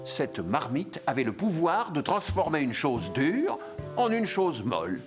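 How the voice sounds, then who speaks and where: A man narrating a children's cartoon in a playful, expressive storytelling manner. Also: on the high side, measured pace, over loud music. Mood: playful, curious.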